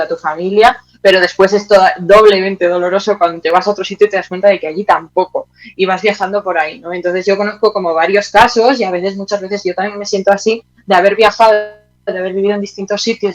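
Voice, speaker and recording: A woman's voice: medium pitch, poor audio. A woman with an accent from Spain answers interview questions in a reflective, conversational way, searching for her words and adding little tag questions. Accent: Spanish from Spain. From Teruel, Aragon, Spain. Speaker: interview guest, playwright.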